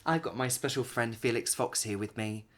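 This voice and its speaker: A man's voice: medium pitch, moderate pace, fairly bright tone. A man, reading a picture book aloud expressively for young children. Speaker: children's storyteller and host.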